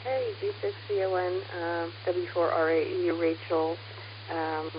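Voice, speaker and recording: An American woman with a fairly low voice chats casually as a radio operator, hesitant and thinking aloud. The transmission is dull and band-limited, with a light hiss behind her. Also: flat delivery, measured pace. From United States. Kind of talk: casual radio contact chat. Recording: muffled, hissy ham radio transmission.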